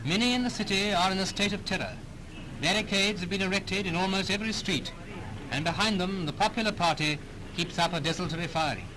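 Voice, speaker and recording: Through clear noise on an old newsreel soundtrack comes a British male narrator. His voice is pitched high, and he declaims his script formally and dramatically in 1930s style. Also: fairly quick.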